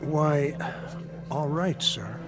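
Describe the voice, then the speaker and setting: A hesitant club servant, music behind. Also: male voice, on the high side. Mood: deferential. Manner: deferential, halting. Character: polite, hesitant, deferential male club servant. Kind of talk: radio drama acting.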